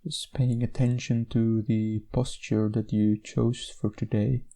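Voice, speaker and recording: Guy doing guided meditation, soft dark tone, gravelly creak, measured w gentle pauses. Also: lowish voice, level tone.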